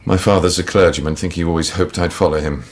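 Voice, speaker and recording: Haunted war veteran guy, very low husky voice, clipped dry confessions, radio play. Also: steady tone, fast. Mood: dry, candid. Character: troubled, guilt-ridden young war veteran, frank, dry. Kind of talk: radio drama acting.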